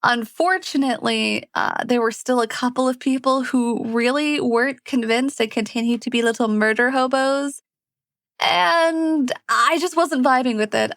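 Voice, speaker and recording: A woman's voice: fairly high pitch, creaky, fairly bright tone, dry recording. A woman storyteller and voice actor who narrates fantasy and chats to her listeners, recorded close up. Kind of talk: scripted fantasy narration and casual chat to listeners.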